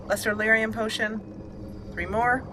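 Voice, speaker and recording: A woman's voice: on the high side, fairly even intonation, measured pace, noisy background. A woman giving casual let's play commentary, thinking aloud in a chatty way as she plays. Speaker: game streamer narrating a roleplay playthrough.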